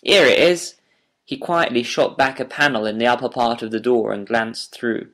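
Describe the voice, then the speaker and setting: A man narrating a scripted audiobook as a storyteller, deliberate and literary in delivery. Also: medium pitch, steady tone, a bit bright.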